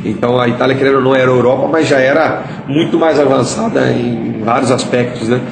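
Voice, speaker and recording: Brief: fast-talking Capixaba man being interviewed, echoey spot with noisy ambience behind. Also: mid-range voice, fairly even intonation, gravelly. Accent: Capixaba Brazilian Portuguese. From Espírito Santo, Brazil. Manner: explanatory, frequent "né?" tags. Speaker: interviewee, political candidate. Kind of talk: casual interview answers.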